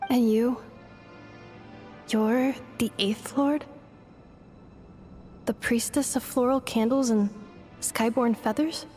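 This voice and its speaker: Earnest, high-voiced robot girl. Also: fairly even intonation, a little mellow, soft background music. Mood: questioning. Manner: formal, measured, analytical wording. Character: earnest, analytical female robot searching for belonging. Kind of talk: video game voice acting.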